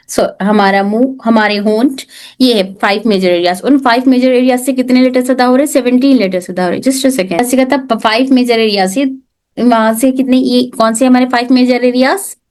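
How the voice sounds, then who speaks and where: High-voiced woman teaching a class. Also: steady tone, normal speed, a little mellow. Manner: asks questions then answers them herself; mixes English terms into her teaching. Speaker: tajweed teacher. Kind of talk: online class lecture.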